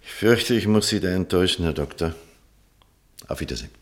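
A man acting an earnest, troubled doctor in a German radio play, speaking formally and with measured insistence. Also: deep voice, animated, no echo. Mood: firm, polite. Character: earnest, troubled male doctor, firm and resolute. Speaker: actor in radio drama.

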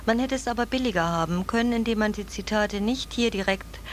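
A woman's voice: mid-range voice, brisk, slight background noise. A woman giving factual, instructive explanations in conversation, as a search specialist would.

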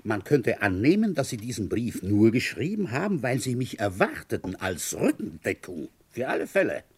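An actor playing a sardonic, dogged French village police commissioner who is worn down by fever, in a German radio crime play. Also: male voice, medium pitch, big pitch swings, normal speed, breathy edge. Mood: suspicious, pressing. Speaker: detective narrating his own case. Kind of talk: German radio crime drama acting and first-person narration.

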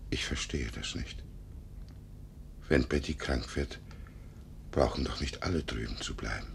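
Casting: lonely invalid gentleman in his seventies, querulous, very low gravelly voice, vintage radio drama. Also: unhurried, in a whisper, heavily acted. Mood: worried. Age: older. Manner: querulous, plaintive. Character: lonely, self-pitying paralysed 72-year-old gentleman. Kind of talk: 1950s German radio drama acting. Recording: noisy, rumbling 1950s radio recording.

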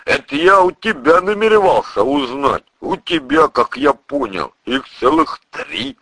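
A man voicing a burly bearded priest with a criminal past, folksy, gruff and wry, in an audiobook story. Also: on the high side, big pitch swings, unhurried, pushed and bright, no echo, voice pushed up, full character voice. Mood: wry, teasing. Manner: colloquial, slangy, teasing.

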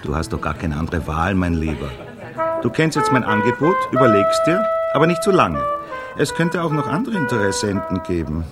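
An actor in a radio play playing a sly, pushy blackmailer with a mock-friendly, insinuating manner. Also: male voice, fairly low pitch, very animated, a little fast, over loud music. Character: smug, sly, pushy blackmailing acquaintance, male. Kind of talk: German radio play acting.

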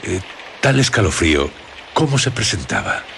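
An attentive male questioner with a very deep, expressive voice. His Castilian Spanish is probing and measured, in radio-play style, over rain-like noise. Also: gravelly, exaggerated voice. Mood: curious, concerned. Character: attentive male listener gently questioning a troubled woman. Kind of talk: radio drama dialogue.

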